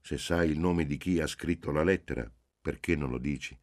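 A man playing a calm, apathetic young man. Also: extremely low pitch, moderate pace. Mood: detached. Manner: calm, flat. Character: detached apathetic man of thirty.

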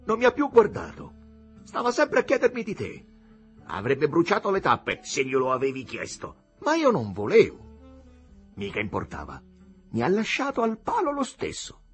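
Guy doing a hungover young apprentice for an audiobook, nervous and boastful, voice jumps up high, really animated. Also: moderate pace, creaky, slightly rough, soft background music, full character voice. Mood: aggrieved. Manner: nervous giggling, excitable, slangy.

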